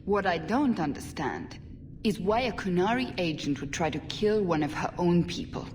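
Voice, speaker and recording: A woman's voice: on the low side, lively intonation, moderate pace, a little mellow, a little hiss or hum, close to an everyday voice. A woman playing a stern, commanding female investigator in a fantasy video game, authoritative and clipped. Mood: stern, puzzled. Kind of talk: video game cutscene acting. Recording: distant voice with background ambience.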